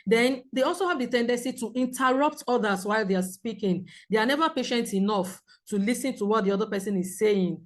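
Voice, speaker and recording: A woman counsellor with a loud voice, teaching a group in a clear, measured way with examples. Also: fairly high pitch. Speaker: premarital counsellor. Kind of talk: teaching a seminar talk.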